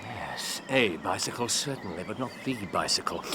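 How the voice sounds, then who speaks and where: Man playing a period-drama detective, very lively pitch, commanding, precise, excitable, muttering to himself, some background noise. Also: normal speed, close to an everyday voice. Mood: brisk, observant.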